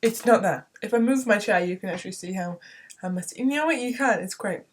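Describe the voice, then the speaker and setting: A young woman, hoarse from a cold, ranting earnestly in a casual vlog from her bedroom, rambling with frequent restarts. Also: medium pitch, a little fast, slight background noise.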